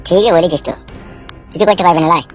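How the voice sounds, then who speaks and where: A man acts a nervous young village lover with a rural West Bengal accent. He speaks very quickly in an earnest, hesitant cartoon voice over faint music. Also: sits high, natural voice. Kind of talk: comedy cartoon dubbing.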